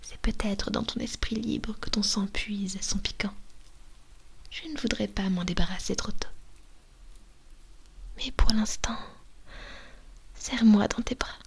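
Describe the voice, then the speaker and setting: A woman's voice: mid-range voice, measured pace, long pauses, breathy edge. Woman whispering as a vampire mistress. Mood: coaxing. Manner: mostly whispered, coaxing and commanding. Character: possessive domineering female vampire mistress. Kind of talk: ASMR roleplay. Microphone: binaural microphone.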